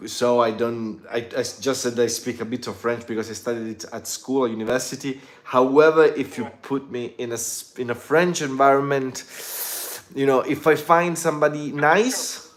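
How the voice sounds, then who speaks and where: A man with an Italian accent, hosting a casual conversation about languages and asking lively follow-up questions. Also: mid-range voice, moderate pace. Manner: animated, asks follow-up questions, repeats words. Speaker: host.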